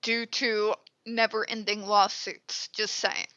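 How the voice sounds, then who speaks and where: A woman's voice: medium pitch, level tone, slow pace, sharp tone, dry recording, dull audio. A congested, stuffed-up woman hosting her own commentary channel, talking bluntly and with exasperation through a headset and swearing freely. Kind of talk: ranting commentary.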